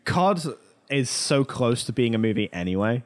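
A man's voice: mid-range voice, very animated, normal speed. Casual male podcast co-host talking opinions. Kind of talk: casual pop culture chat.

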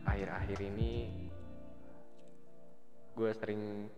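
A man's voice: lowish voice, little pitch movement, takes it slowly. A man in his twenties hosting a casual podcast chat, candid and self-doubting, rambling with fillers. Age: 20s. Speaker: podcast host. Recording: echoey, dull lo-fi podcast with music bed.

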